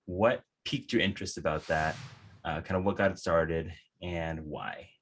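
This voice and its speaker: Lower-voiced male podcast host. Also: steady tone, a bit bright, a little hiss or hum. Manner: upbeat intro, then halting with many fillers. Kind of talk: casual interview hosting.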